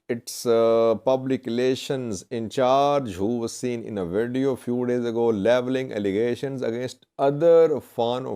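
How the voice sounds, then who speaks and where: Smooth, mellow male news commentator. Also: mid-range voice. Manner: halting, deliberate phrasing with self-corrections. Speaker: news commentator presenting headlines to viewers. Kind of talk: semi-scripted news commentary.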